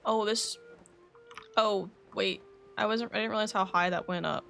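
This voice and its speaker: Chatty woman streaming a game, mid-pitched. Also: steady tone, moderate pace, creaky, a bit bright. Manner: chatty, stumbles and corrects herself when reading. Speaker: game livestreamer. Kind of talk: casual live game commentary, reading story text aloud. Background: game music.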